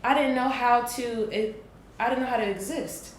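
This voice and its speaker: A woman in her late twenties, talking thoughtfully and deliberately in a casual group conversation, with emphatic bursts. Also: fairly high pitch. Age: 20s. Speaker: podcast guest. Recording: echoey room with faint noise.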